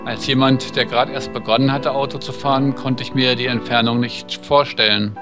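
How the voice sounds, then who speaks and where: A man's voice: medium pitch, steady tone, moderate pace, close to an everyday voice. A man reading the part of an awkward young driver who narrates a visual novel, over music. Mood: pensive. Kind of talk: visual novel read aloud.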